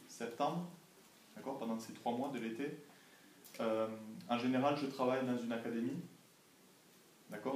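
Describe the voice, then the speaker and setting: A man's voice: mid-range voice, darkish tone. A young man from France, answering interview questions as a language assistant, carefully and didactically, often checking that he is understood. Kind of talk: informal classroom interview answers. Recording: echoey classroom, distant mic, faint noise.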